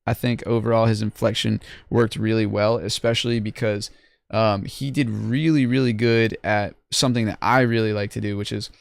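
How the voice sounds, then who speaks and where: Slangy laid-back man with a gravelly voice. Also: on the low side, flat delivery, normal speed, no room sound. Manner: loose, laid-back slangy talk with many restarts. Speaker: host judging music contest entries. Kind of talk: casual livestream review chat.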